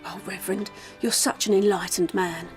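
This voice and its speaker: A woman playing a fawning, genteel eighteenth-century matron, coy and flattering, in an audio drama. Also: medium pitch, normal speed, faint music behind, close to an everyday voice. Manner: gushing, genteel. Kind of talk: period audio drama acting.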